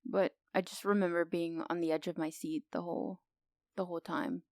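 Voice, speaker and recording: Podcast co-host lady, a bit gravelly, teasing and excited about movies. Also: lowish voice, fairly quick, no echo, clean studio sound. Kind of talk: casual film discussion.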